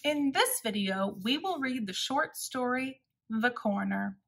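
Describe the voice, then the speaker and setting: A woman reading a children's story aloud as narrator, careful and clearly paced. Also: on the high side, expressive, unhurried, a bit bright.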